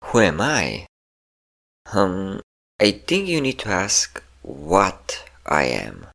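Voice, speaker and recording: Man playing a deep-voiced, teasing male vampire. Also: huge pitch swings, vocal fry, fairly bright tone, heavily acted. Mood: menacing, playful. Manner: taunting, flirtatious, slow endearments. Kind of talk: intimate roleplay audio acting.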